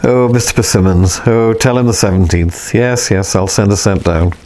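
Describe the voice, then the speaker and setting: A man's voice: lowish voice, moderate pace, darkish tone, lightly acted. A man voicing a politely puzzled young clerk. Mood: offhand. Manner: mild, understated politeness.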